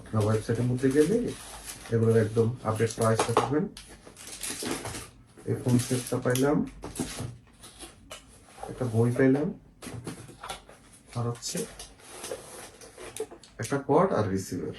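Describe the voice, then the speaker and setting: A man's voice: mid-range voice, a little slow, pauses often. Bangladeshi man demonstrating products, echoey room. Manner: repeatedly checks in with the viewer. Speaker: shop seller presenting products. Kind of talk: casual product demonstration with explanations. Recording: echoey room, faint noise, clicks.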